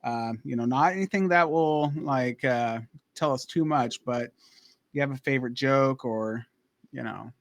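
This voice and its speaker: A man's voice: mid-range voice, measured pace, dry recording, clean studio sound. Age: adult. Sex: man. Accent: American. Role: podcast co-host. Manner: easygoing. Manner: easygoing, hesitant with fillers, laughs often. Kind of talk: casual chat.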